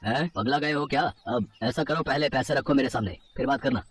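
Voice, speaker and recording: A man's voice: medium pitch, fairly quick, slightly rough, close to an everyday voice. A man playing a blunt, greedy street-food seller in a cartoon moral story, exclaiming and scoffing. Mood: gruff, scoffing. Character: grumpy greedy male golgappa street vendor. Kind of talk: animated Hindi moral story dialogue.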